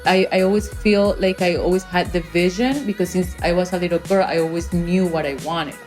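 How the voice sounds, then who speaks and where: Woman from South America, fairly low pitch, conversational storytelling, podcast guest, music behind. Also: steady tone, a little fast, darkish tone. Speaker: podcast guest, actress and producer.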